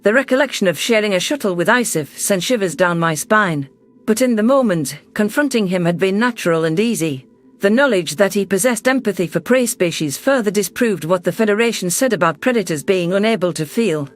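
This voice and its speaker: A man reading first-person science fiction narration in a steady, measured, scripted audiobook style. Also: high-pitched, faint music behind.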